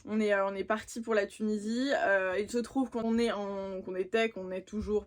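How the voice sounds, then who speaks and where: Young woman chatting casually. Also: a little high. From France. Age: young adult. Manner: informal, slangy. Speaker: vlogger. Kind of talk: casual chatty makeup vlog.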